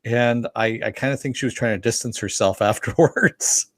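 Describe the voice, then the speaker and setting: A man's voice: medium pitch, normal speed, no room sound. A man co-hosting a podcast, chatting casually and reading out listener comments. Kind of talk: casual fan podcast discussion.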